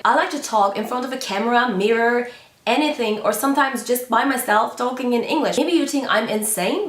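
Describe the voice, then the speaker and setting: Female vlogger, 20s, native Turkish speaker, casual and frank, slightly high voice, roomy echo. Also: fairly bright tone. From Turkey. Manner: chatty, candid, with frequent fillers and non-native grammar. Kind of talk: casual talk to camera.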